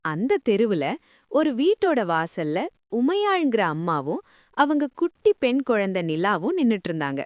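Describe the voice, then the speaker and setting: Very animated woman telling children's stories. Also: mid-range voice, normal speed, no echo, clean studio sound. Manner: animated, addresses listeners affectionately. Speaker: children's storyteller. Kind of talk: expressive storytelling addressed to young children.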